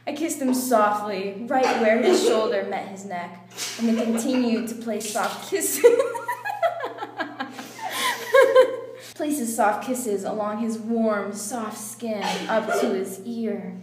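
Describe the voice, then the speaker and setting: Young woman, high voice and unhurried pace, reading romantic fiction aloud with barely held-in giggles. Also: very animated. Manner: playful, often breaking into giggles. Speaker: reader in a water-spitting challenge. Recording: noisy, echoey room with clicks.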